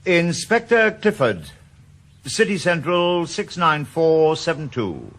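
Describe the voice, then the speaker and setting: A man's voice: on the high side, big pitch swings, takes it slowly, a bit bright, exaggerated voice. A man playing a wisecracking retired ex-detective with a Welsh accent in a 1980s radio drama. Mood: wry. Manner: wisecracking, bluffing. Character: shabby retired ex-detective, wry and pushy. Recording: faint hiss of old radio broadcast.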